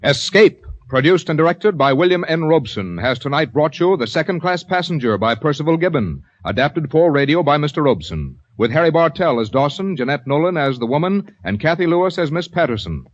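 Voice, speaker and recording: A man's voice: a little high, very animated, a little fast, hardly any pauses. A man with an American accent making formal, scripted announcements in the style of 1940s radio. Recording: muffled vintage radio recording. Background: faint music.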